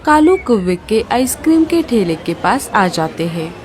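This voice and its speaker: A woman's voice: medium pitch, sing-song, a little slow, darkish tone, a little hiss or hum. A woman narrating a scripted children's cartoon story.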